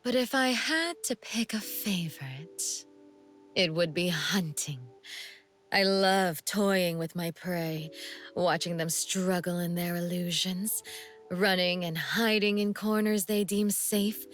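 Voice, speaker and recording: A seductive female assassin from a video game, with a lowish voice and very slow, languid delivery. She is coolly amused and teasing, breathy at times, with a sadistic menace under every phrase. Music plays behind her. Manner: languid, teasing, deliberate, sometimes breathy near-whisper. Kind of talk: video game character voice lines.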